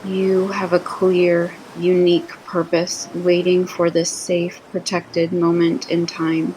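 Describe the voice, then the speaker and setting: A woman narrating a scripted guided meditation in a soothing, deliberate manner with gentle pauses between phrases. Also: on the low side, completely flat delivery, slight background noise, low-quality recording.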